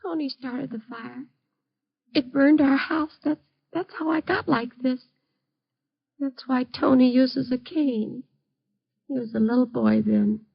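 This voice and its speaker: A woman's voice: high-pitched, fairly even intonation, unhurried, vocal fry, pauses often, breathy edge, full character voice. An actress playing a frightened, fretful bedridden mother haunted by a fire, in an old-time radio drama. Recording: dull lo-fi vintage radio recording.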